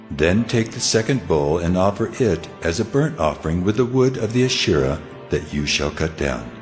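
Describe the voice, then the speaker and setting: A man playing God in a dramatized scripture reading, solemn and commanding, speaking in a slow, measured, authoritative way. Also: deep voice, a little mellow.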